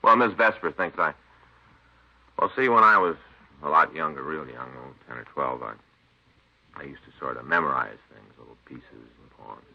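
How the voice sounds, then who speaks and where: A man's voice: rumbling low, moderate pace, vocal fry, full character voice. An actor playing a sheepish married police detective in a radio drama, folksy and hesitant, rambling as he talks. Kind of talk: 1950s radio drama acting. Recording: dull old radio recording.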